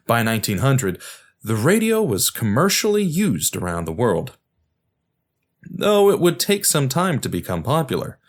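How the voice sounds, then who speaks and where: A man narrates an educational podcast from a script with a lively, widely varied delivery. He explains things conversationally and slips in casual asides. Faint music plays behind his mid-pitched voice. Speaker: podcast host.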